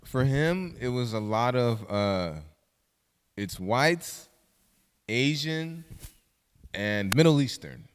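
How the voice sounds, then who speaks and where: A young man from Southern California hosting a casual podcast chat, animated and cursing freely. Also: mid-range voice, takes it slowly, long pauses, in a large hall, a little hiss or hum. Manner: animated, swearing, laughing often. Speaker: podcast host.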